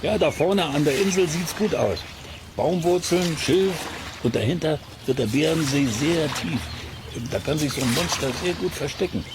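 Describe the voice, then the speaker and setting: An actor playing a quirky, cheerful middle-aged amateur monster hunter in a children's radio play, musing to himself in a gravelly voice. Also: male voice, on the high side, lively intonation, moderate pace, lightly acted. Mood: eager, confident. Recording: noisy outdoor nature ambience.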